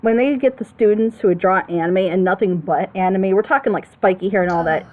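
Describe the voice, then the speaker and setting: A woman's voice: mid-range voice, brisk. Slightly muffled, lo-fi recording of a woman with a mid-range voice. She talks casually and with opinions, as a commentator on a reaction show. She leans on points by repeating them emphatically.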